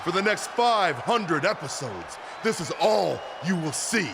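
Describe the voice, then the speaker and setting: An actor playing an arrogant, menacing wrestling champion who delivers boastful, deliberate threats in a video game promo. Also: male voice, high voice, big pitch swings, vocal fry, heavily acted. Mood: smug, boastful. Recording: noisy arena with crowd behind.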